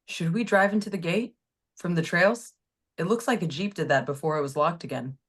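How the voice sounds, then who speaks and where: A woman's voice: fairly low pitch, a little fast, husky. A woman playing a worried, eager junior police officer in a screenplay table read. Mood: concerned, pleading.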